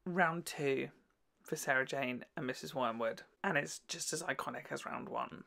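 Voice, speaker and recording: A woman's voice: very deep voice, normal speed, vocal fry, a bit bright. A woman hosting a casual, gushing and sometimes sweary reaction commentary on children's television. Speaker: video host reviewing children's TV.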